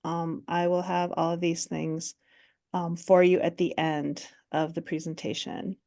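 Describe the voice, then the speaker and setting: A woman's voice: on the low side, flat delivery, measured pace, creaky, dark tone. A woman in her forties from Minnesota, a French teacher giving an online workshop presentation in a friendly, chatty way with many ums. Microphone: video call.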